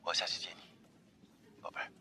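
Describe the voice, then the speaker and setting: 一名男演员在广播剧里饰演一个沉稳宠溺、爱逗人的年长男友，说话温柔从容。. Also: male voice. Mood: affectionate. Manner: tender, unhurried, gently teasing. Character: calm, doting, teasing older boyfriend. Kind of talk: Chinese audio drama acting. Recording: music under the voice. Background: background music.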